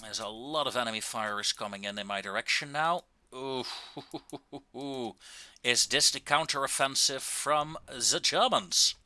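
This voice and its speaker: A man giving casual, wry and understated commentary on a video game, with drawn-out pauses, into a close microphone. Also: mid-range voice, pushed and bright, no echo.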